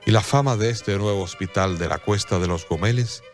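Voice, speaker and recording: A man giving solemn, scripted radio drama narration with measured, dramatic pauses. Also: fairly low pitch, faint music behind.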